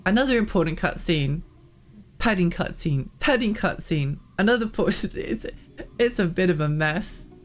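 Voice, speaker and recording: A woman's voice: lowish voice, expressive, normal speed. A woman livestreaming a video game, chatting casually and expressively, her voice worn and prone to cracking. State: tired, cracking voice from losing it. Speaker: live game streamer. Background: game music.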